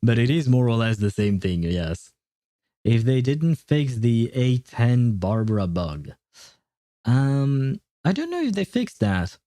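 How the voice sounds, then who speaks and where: A man with a soft-edged, mellow voice chats casually on a gaming livestream. He answers viewers in an explanatory way, pausing often to find his words. His medium-pitched voice sounds dry, with no room echo. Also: sing-song.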